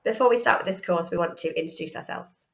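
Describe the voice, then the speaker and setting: A woman's voice: on the low side, fast. A woman presenting an informal course introduction, explaining things piece by piece with hesitant asides. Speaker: educational psychologist and course presenter.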